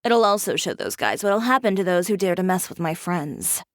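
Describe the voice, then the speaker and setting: A woman's voice: lowish voice, lively intonation, moderate pace, dry recording, clean studio sound, close to an everyday voice. Cool, self-assured young businesswoman played by an actress. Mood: assured, protective. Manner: wry, composed, matter-of-fact. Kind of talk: video game character acting.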